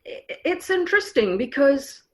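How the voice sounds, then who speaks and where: A woman's voice: high voice, sing-song, normal speed, fairly bright tone. A middle-aged woman from Trinidad, speaking reflectively in a podcast interview with frequent fillers and restarts. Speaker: guest author.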